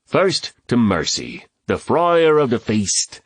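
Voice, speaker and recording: A man's voice: mid-range voice, wildly swooping pitch, slow pace, husky, no room sound, voice pushed up, exaggerated voice. A jovial, teasing Irishman from an audiobook, played by a male narrator, speaks in a mock Irish accent. His raised voice swings widely as he banters theatrically. The recording is close and dry. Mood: hearty toasting. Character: jovial, teasing Irishman trading quips at a party.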